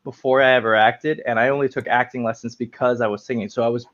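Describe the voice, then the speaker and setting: Young guy rambling on a video call, lots of likes and ums, sound a bit dull and lo-fi, mid-pitched voice. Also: fairly even intonation, quick pace, fairly bright tone. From United States, raised in New York and South Florida. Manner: rambling, filler-heavy, frequent restarts. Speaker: guest actor. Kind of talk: casual interview chat.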